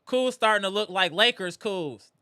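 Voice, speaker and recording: A man hosting a live postgame basketball reaction stream, animated and greeting viewers in the chat, his voice strained from yelling. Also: high voice, moderate pace, clean tone, no room sound, clean studio sound. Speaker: livestream host.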